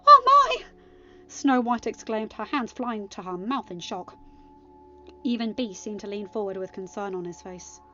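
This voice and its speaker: A woman's voice: medium pitch, moderate pace, darkish tone, soft background music. A woman reading fan fiction aloud as scripted audiobook narration. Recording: slightly dull, band-limited home recording.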